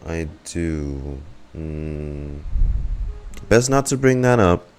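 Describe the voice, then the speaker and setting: A man's voice: very deep voice, very animated, very slow, a little mellow, a little hiss or hum. A young man giving casual, slangy game reaction commentary as a streamer, with muttered asides.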